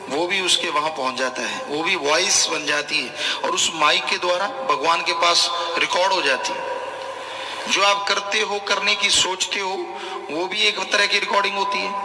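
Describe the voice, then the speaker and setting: A man's voice: high-pitched, pushed and bright. A man preaching a colloquial religious sermon in an admonishing, conversational way. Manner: admonishing, conversational, acts out short imagined dialogues. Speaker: spiritual preacher. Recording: echoey hall with long reverb.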